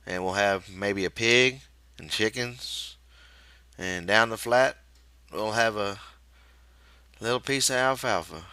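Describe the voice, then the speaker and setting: Guy doing a tired ranch worker calming his buddy, folksy talk, bit raised and tense, audiobook. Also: fairly low pitch, takes it slowly, long pauses, smooth, no echo. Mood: tender, strained. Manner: rustic folksy speech, reassuring. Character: weary, protective ranch hand soothing his friend.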